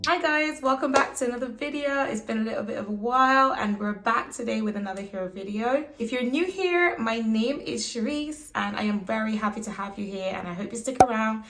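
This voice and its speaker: A young woman with a hoarse, husky voice from a recent flu, chatting upbeat to camera as a hair care vlogger and often calling out to her viewers. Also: on the high side, expressive, brisk, few pauses, fairly bright tone. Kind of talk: casual tutorial talk to camera.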